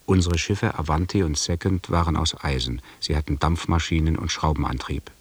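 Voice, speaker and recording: Ein Schauspieler spielt einen nüchternen Expeditionschronisten, der in einem Hörspiel mit belegter Stimme gemessen und sachlich erzählt. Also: male voice, extremely low pitch, steady tone, slightly rough. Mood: sober, observant. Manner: measured, factual. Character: male expedition chronicler, sober and observant. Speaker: narrator in a radio drama. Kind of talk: scripted descriptive narration.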